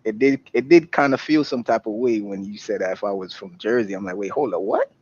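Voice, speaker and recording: Halting New man, muffled. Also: lowish voice, a little mellow, husky. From New York. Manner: halting, stumbling speech. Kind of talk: casual group chat. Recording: muffled remote mic.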